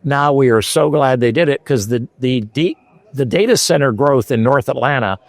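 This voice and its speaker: A man's voice: mid-range voice, moderate pace, no room sound. A man from Scotland hosting interviews at a trade show, chatty and conversational with hesitant fillers.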